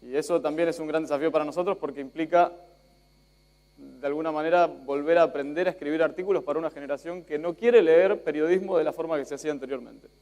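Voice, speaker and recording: A man's voice: a little high, quick pace, darkish tone. A young Spanish-born man speaking with an Argentine accent, giving a chatty conference talk with fillers and self-jokes. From Spain. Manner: chatty, self-joking, many fillers and restarts.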